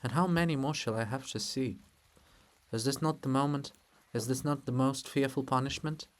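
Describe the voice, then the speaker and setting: A man's voice: medium pitch, fairly even intonation, normal speed, lightly acted. Man voicing a brooding, wry old monument poet. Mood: brooding, mournful.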